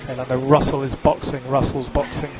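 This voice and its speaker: A man's voice: mid-range voice, flat delivery, a little fast, over music. A man working as a race engineer, giving clipped, businesslike instructions over team radio and repeating key calls. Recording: very noisy radio link, engine noise. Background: vehicle noise.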